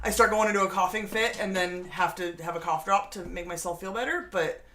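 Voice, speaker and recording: A woman's voice: medium pitch, brisk, a bit bright, a little hiss or hum. A woman host chatting casually and reading viewer comments, congested from a lingering cold, with a sassy, teasing manner. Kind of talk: casual livestream chat.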